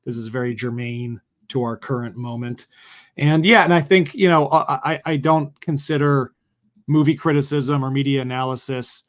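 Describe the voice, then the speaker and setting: A man in casual conversation as a guest, his voice raspy and worn from long recording, speaking thoughtfully with some restarts. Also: mid-range voice, flat delivery, moderate pace. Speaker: guest, film festival organizer.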